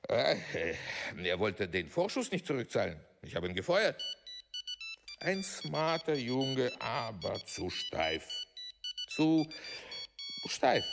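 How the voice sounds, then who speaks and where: An actor in a radio drama playing a pompous, impatient, blustering veteran film producer. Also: male voice, medium pitch, very animated, slow, gravelly, long pauses, big-room sound, over music, full character voice. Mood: dismissive, chatty. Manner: pompous, hesitant filler sounds, switches languages, barks orders.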